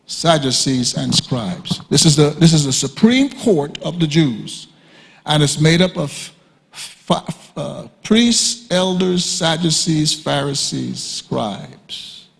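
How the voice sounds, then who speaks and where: A man teaching a sermon at a conference, emphatic and repetitive, often leaping high to act out quoted speakers. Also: expressive, slow pace, gravelly. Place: church hall. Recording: reverberant hall.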